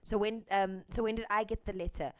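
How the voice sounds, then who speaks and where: A woman's voice: medium pitch, steady tone, brisk. A woman host speaking earnestly and candidly, translating a clip with frequent hesitant ums. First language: English. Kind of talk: earnest commentary and live translation.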